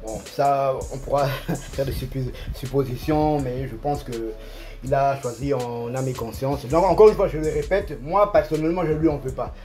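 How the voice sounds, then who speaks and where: A man with a Congolese French accent talking football as a pundit in an animated, casual way, emphatic and repeating his phrases. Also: mid-range voice, normal speed, soft background music. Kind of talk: animated casual sports discussion.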